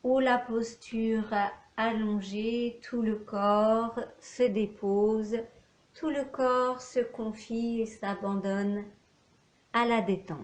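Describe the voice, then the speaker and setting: A woman with a fairly high voice guides a relaxation meditation at an extremely slow pace. She gives short instructions separated by long pauses. The room is echoey. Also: steady tone. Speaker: yoga nidra instructor. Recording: echoey room, faint background noise.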